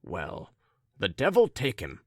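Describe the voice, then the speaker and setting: A low, unhurried young man's voice from a storybook, put on by a male reader. He is curt and impatient, irritated under a thin layer of grudging politeness. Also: wildly swooping pitch, a bit bright, dry recording, studio quality, heavily acted. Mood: annoyed, muttering. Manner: terse, brusque, grudgingly polite.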